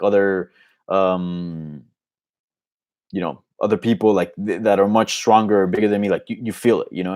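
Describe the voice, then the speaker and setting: Male host, from Colombia, Spanish first language, lower voice, casual conversational live Q&A delivery. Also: a little mellow. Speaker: host of an MMA live Q&A show. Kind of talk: casual unscripted live Q&A commentary.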